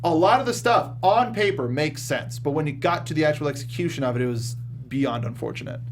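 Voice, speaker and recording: A man's voice: fairly high pitch, lively intonation, brisk, slight background noise. A man hosting a casual film review discussion, speaking informally and emphatically with the odd mild swear. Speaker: show host.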